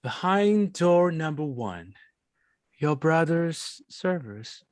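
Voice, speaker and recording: An actor playing a menacing, arrogant gang leader in a table read, mocking and gloating with halting, chuckling delivery. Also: mid-range voice, big pitch swings, dry recording.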